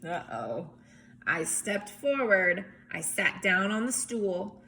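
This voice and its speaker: A woman reading a storybook aloud in an expressive, emphatic way, with chatty asides as if to young children. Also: mid-range voice, slow pace, slight background noise. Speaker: teacher or reader reading a picture book aloud.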